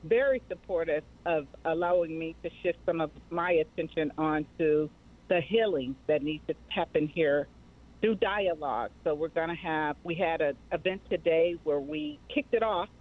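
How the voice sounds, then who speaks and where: A woman's voice: sits low, animated, moderate pace. A middle-aged woman giving personal answers as an interview guest over a remote call. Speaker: guest, mental health advocacy director. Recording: tinny, phone-like band-limited line.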